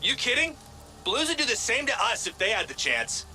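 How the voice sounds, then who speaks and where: A man's voice: very high voice, very animated, normal speed, pushed and bright, faint music behind, heavily acted, rough recording. An actor voicing a callous, brash male soldier in a video game. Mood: brisk, callous.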